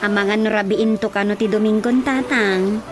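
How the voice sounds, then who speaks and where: A woman's voice: mid-range voice, fairly even intonation, moderate pace, over music, lightly acted. A woman acting a frank village daughter advising her father in a radio drama, with an Ilocano accent. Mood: frank, persuasive.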